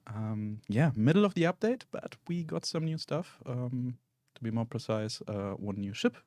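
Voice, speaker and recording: A man hosting a game stream, talking in a relaxed, hesitant way with many ums and uhs. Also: mid-range voice, normal speed, no room sound, studio quality. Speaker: stream host. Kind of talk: casual game-stream chat.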